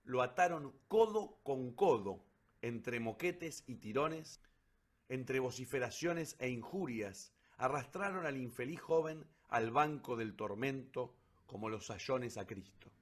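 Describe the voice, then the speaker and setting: A man's voice: mid-range voice, expressive, slow pace. A man reading scripted, dramatic narration as the narrator of a radio play.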